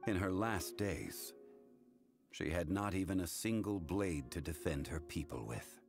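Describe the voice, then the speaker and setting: A man playing a calm, grave consultant in a video game, speaking formally and with solemn measure. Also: deep voice, unhurried, over music. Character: calm, grave, worldly male consultant recounting hard truths. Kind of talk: English video game voice acting.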